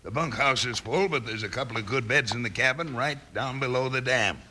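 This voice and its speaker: An actor playing a hearty, insistent American mine boss in an old radio western. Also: male voice, mid-range voice, a little fast, pushed and bright, close to an everyday voice. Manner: smoothly insistent, repeats himself. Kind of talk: 1950s radio western acting. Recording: noisy old radio recording.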